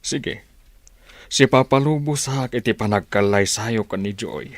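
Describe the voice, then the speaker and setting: A man's voice: lowish voice, a little slow, no room sound, close to an everyday voice. An actor with an Ilocano accent playing an approving older father in a radio drama.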